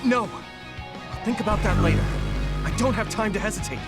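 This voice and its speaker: A man's voice: high voice, fairly quick, loud music behind the voice, lightly acted. An actor playing an earnest, desperate young male soldier in a video game, speaking in an emotional, exclamatory way. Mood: anxious, determined.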